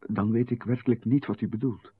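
A man's voice: medium pitch, fairly even intonation, fairly quick, slightly rough, close to an everyday voice. A man playing a nervous writer who is being questioned in a radio play, hesitant and bewildered. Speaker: writer Babbert, the visited host. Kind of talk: 1990s radio play acting. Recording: narrow phone-like radio band.

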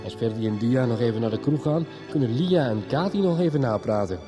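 A man's voice: mid-range voice, animated, brisk. A man reading a scripted recap as narrator of a radio soap serial. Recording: music behind the voice. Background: music.

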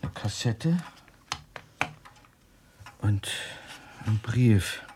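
An actor playing an earnest doctor investigating a mystery in a German radio drama, with a husky voice and deliberate, intense delivery. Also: male voice, on the low side, expressive, noisy background. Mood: grave, deliberate. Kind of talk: German radio drama acting, reading aloud.

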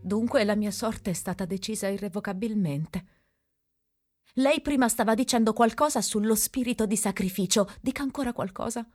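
A woman's voice: medium pitch, moderate pace, darkish tone, lightly acted. A woman playing an earnest, plaintive castaway who talks themself into noble self-sacrifice, in theatrical, declamatory radio drama. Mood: anxious.

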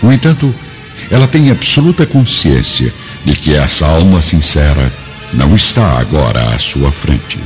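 A man's voice: very deep voice, very animated, normal speed. A man narrating a radio drama from a script in a dramatic storytelling style. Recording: dull lo-fi audio with music behind.

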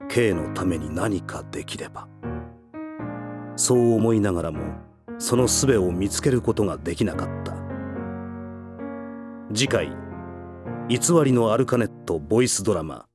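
A man acting as the solemn, measured narrator of a scripted voice drama. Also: fairly low pitch, expressive, over music, lightly acted. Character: solemn male storyteller of a drama episode.

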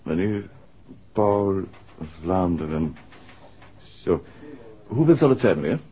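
An actor playing a formal, deferential bank clerk in a 1960s radio drama, with a Dutch accent. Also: male voice, low-pitched, sing-song, slow pace, warm tone, heavily acted. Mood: polite, formal. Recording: muffled 1960s radio recording.

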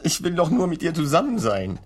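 Meek lovesick guy, husky voice. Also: on the high side, wildly swooping pitch, fairly quick, heavily acted. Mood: earnest, wounded. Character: lovesick resentful man, meek, living in his brother's shadow. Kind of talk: radio play acting.

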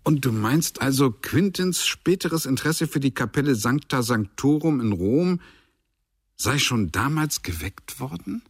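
An actor playing a sceptical, condescending senior cherub who questions an angel in a radio play. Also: male voice, mid-range voice, lively intonation, unhurried, husky, dry recording, clean studio sound. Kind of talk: radio drama acting.

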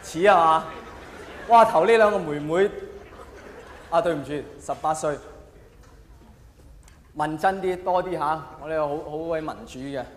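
A man's voice: fairly high pitch, measured pace, long pauses. A man with a Hong Kong Cantonese accent performing live stand-up, theatrical and full of rhetorical questions for the audience. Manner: theatrical, acts out quoted characters. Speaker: stand-up comedian. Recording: echoey hall, long reverb, faint noise.

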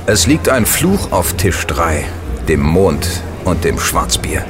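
Casting: male actor as worldly, superstitious gambler, lowish voice, chatty and knowing, radio play with clear music underneath. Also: very animated, slow, exaggerated voice. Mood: ominous. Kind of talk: German audio drama dialogue.

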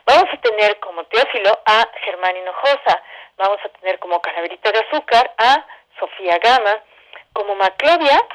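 A woman's voice: mid-range voice, animated, moderate pace, a bit bright, poor audio. A woman with a Mexican accent speaking courteously as a guest in a radio interview. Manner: courteous, gracious. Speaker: theatre director and interview guest.

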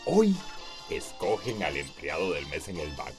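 An actor playing a cocky, self-justifying middle-aged husband in a radio soap, with a Costa Rican accent. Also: male voice, a bass voice, wildly swooping pitch, moderate pace, over music, exaggerated voice. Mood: upbeat, boastful.

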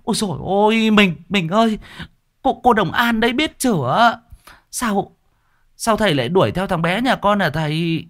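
A man's voice: very high voice, lively intonation, moderate pace, pushed and bright, voice pushed up, full character voice. A man voicing a hysterical village wife in an audio story, with a panicky, stammering, wailing manner. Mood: flustered, apologetic.

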